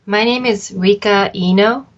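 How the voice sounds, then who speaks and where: A middle-aged woman from Japan, an arts agency founder, answering interview questions in a thoughtful, measured way with frequent pauses. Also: medium pitch, highs cut off.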